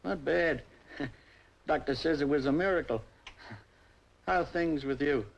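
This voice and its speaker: Genial, knowing older fixer. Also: male voice, on the high side, measured pace, creaky, highs cut off, slight background noise. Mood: friendly. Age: middle-aged or older. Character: genial, confident veteran political fixer, a hospital patient. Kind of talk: old crime drama acting.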